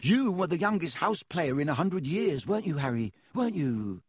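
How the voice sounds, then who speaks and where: A man's voice: on the high side, very animated, breathy edge, no room sound, clean studio sound, voice pushed up. A man doing a piping, eager young schoolboy. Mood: eager, awestruck. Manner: breathless, piping, rapid questions. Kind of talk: audiobook character voice.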